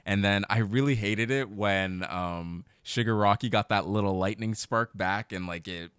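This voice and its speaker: A man's voice: fairly low pitch, moderate pace, a bit bright, clean tone, dry recording, clean studio sound. A man chatting casually as a podcast guest, often searching for his words. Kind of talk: casual chat.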